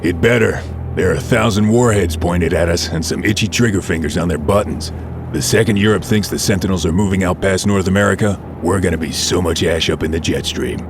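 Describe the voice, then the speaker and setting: A man acts out a hardened, weary resistance fighter in an audio drama. The voice is low and gruff, the talk blunt and tough, set against clearly audible background noise. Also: fairly quick. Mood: grim. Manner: blunt, terse, tough-talking.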